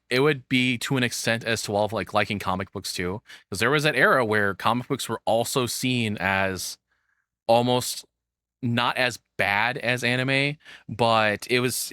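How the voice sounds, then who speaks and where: A man's voice: lowish voice, pushed and bright, dry recording, studio quality. A man in his thirties giving casual, rambling reaction commentary as the host, swearing freely. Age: 30s.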